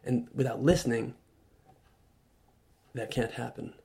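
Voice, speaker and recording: Radio guest, male, earnest reflective talker who stops and restarts, mid-pitched voice. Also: flat delivery, vocal fry. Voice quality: loud voice. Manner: earnest, halting with many restarts. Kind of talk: reflective conversational talk. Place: radio studio.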